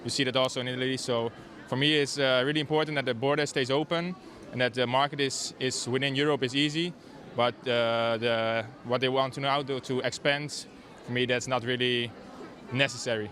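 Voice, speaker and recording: Young male interviewee from the Netherlands, monotone, bright edge, hesitant. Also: mid-range voice, fairly quick, hardly any pauses. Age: 20s. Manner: flat, hesitant opinion-giving. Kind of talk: casual interview answer.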